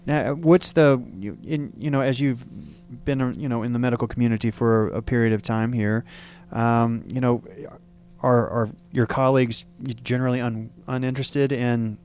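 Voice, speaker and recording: A man's voice: medium pitch, fairly even intonation, normal speed, a little mellow, a little hiss or hum. A man hosting an interview, talking at length with many fillers and restating his guest's points. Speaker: radio show host. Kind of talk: interview talk.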